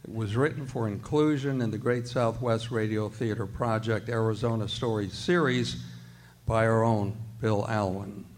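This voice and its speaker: A man's voice: lowish voice, normal speed. A man announcing and setting the scene for a radio play in scripted narration.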